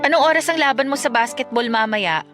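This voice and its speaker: Actress as nagging but affectionate young girlfriend, high and lively, blunt questions, radio drama. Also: fast, music in the background, lightly acted. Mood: affectionate, coaxing.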